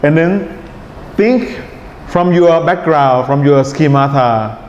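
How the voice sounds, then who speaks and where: A man with a Thai accent teaching an IELTS writing class in a relaxed lecture style, often checking in with his students. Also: a little high, takes it slowly. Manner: relaxed, checks in with "right?" and "okay?". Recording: echoey hall, dull sound, faint hiss.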